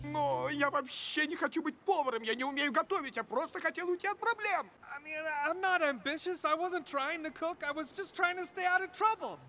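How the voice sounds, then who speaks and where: An actor playing an awkward, nervous young man in an animated film, hesitant and stammering. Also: extremely high pitch, moderate pace, hardly any pauses, heavily acted. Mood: anxious, pleading. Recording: film clip with music underneath.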